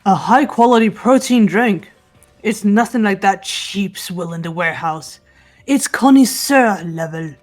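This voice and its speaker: A performer voicing a composed, formidable young female fighter in a fan game playthrough, slow, deliberate and declamatory. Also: mid-range voice, lively intonation, faint music behind, close to an everyday voice. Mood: stately, proud. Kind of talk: amateur fully voiced game playthrough.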